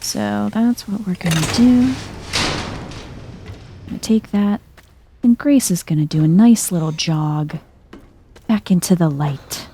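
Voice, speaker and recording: A soft-spoken woman giving chill, understated game commentary in an ASMR style, musing aloud as she plays. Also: mid-range voice, slow pace, long pauses, a little hiss or hum. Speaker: video game streamer.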